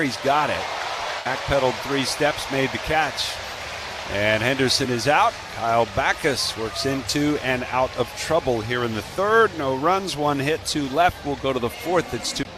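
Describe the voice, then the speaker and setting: A man's voice: mid-range voice, a little slow, background noise. An American man giving live baseball radio commentary and reading promos. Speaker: baseball radio play-by-play announcer and analyst.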